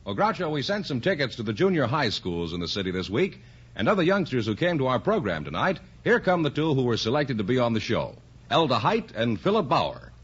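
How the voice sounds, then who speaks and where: A man's voice: medium pitch, wildly swooping pitch, fairly quick, a bit bright, husky. An American man announcing a vintage radio quiz show in showy introductory style. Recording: dull vintage radio broadcast.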